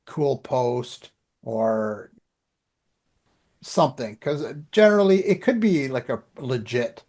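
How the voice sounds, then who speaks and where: A man's voice: medium pitch, expressive, slow, darkish tone. A man chatting as a podcast host in an informal, loosely anecdotal, conversational way. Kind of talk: casual conversational chat.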